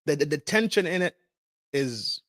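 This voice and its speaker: A man's voice: fairly high pitch, lively intonation, normal speed, a bit bright, dry recording, studio quality. Profane, fired-up male podcast host. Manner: profane, emphatic, repetitive, street slang. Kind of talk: casual opinion rant.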